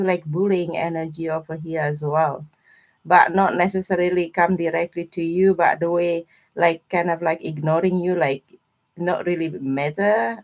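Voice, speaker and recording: A woman with informal, non-native English phrasing, chatting casually with viewers as she gives a live tarot reading. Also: fairly low pitch. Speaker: tarot reader hosting a live stream.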